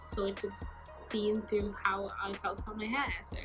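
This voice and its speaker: Twenties, soft and reflective, casual fillers, music bed under a phone recording. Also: female voice, medium pitch, level tone, measured pace. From Norway. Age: 20s. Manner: soft-spoken, thoughtful, many fillers like "like" and "you know". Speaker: artist. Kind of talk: reflective personal testimony to camera. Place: bright sunlit room, seated. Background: background music.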